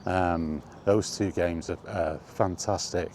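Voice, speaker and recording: A man hosting a casual, rambling chat, thinking aloud with hesitant pauses. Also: low voice, fairly even intonation, a little mellow. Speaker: video host reminiscing about games. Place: on the move, walkie talkie vlog. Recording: faint ambient background noise.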